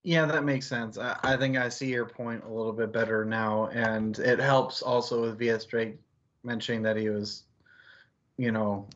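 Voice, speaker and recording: A man's voice: mid-range voice, fairly even intonation, moderate pace, a bit bright. Male book club host, casual and analytical, talks around his points; slightly dull stream audio.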